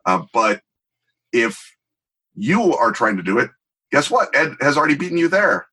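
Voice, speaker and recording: A man's voice: medium pitch, sing-song, moderate pace, sharp tone, breathy edge. A middle-aged man talking as an interview guest, explaining in an emphatic, wry, conversational way with digressive examples. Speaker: interview guest, tabletop game designer.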